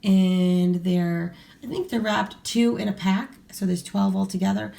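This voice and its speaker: A middle-aged woman with a hoarse voice from a cold, chatting casually to viewers with lots of fillers and restarts. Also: mid-range voice, a little slow, mellow. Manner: rambling, many fillers and restarts. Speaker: vlogger showing a grocery haul. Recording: echoey room, voice a bit far from mic.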